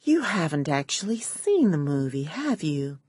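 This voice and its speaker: A woman's voice: sits low, big pitch swings, a little slow, darkish tone, slightly rough, no room sound, studio quality, exaggerated voice. Woman putting on a shrewd, amused movie starlet. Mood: knowing. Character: glamorous, shrewd, amused female movie star.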